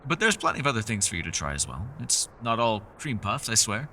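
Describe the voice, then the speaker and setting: A man acts out an ancient, reclusive male elf for a fantasy audio roleplay. His voice is a little low yet strikingly bright, with lively, wide-moving intonation. He speaks in formal, old-fashioned phrases, gentle and apologetic, with the awkward chattiness of someone unused to company. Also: fast talker, slight background noise. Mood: welcoming. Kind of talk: fantasy ASMR roleplay voice acting.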